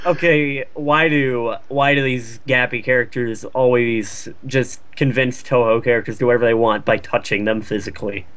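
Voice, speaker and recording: A man's voice: medium pitch, fairly even intonation, moderate pace, hardly any pauses, a bit bright, smooth. A young man casually reading fan fiction aloud and chatting about it in a profane, exasperated way. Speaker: fan fiction reader and host. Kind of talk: casual mocking read-aloud and chat.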